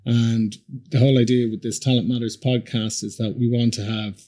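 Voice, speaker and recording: A man's voice: medium pitch, flat delivery, moderate pace, dark tone. A man hosting a podcast, reading a scripted intro and then interviewing a guest in a friendly, encouraging way. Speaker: podcast host.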